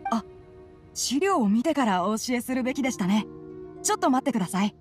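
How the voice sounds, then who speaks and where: Timid polite game boy, high voice, swoopy and hesitant, eager to help. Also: sing-song, brisk, a little mellow. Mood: flustered, polite. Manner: hesitant, apologetic, courteous. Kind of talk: Japanese game voice acting. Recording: music playing behind the voice.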